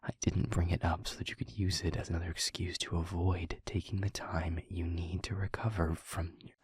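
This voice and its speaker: A man playing a weary, brooding but protective demon in an intimate audio roleplay, speaking in a husky half-whisper with halting, formal phrasing. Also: low voice, level tone, a little mellow, no echo, studio quality. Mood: protective, self-blaming. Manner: slow, halting, formal phrasing with heavy pauses. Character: weary, brooding male demon, gravely guarded yet protective.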